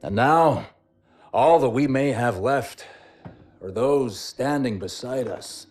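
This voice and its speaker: An actor playing an aging, resolute starship captain in a game cutscene, commanding and deliberate. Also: male voice, medium pitch, a little mellow, faint music behind, lightly acted. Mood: solemn, stirring. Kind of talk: cinematic game cutscene acting.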